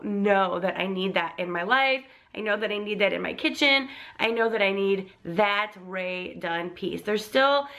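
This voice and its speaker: A woman's voice: mid-range voice, pushed and bright. A young woman, a vlogger getting over laryngitis with a slightly crackly voice, chatting in a gushing, casual way about a shopping haul. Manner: chatty and gushing, with frequent likes and ums. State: recovering from laryngitis, a bit crackly.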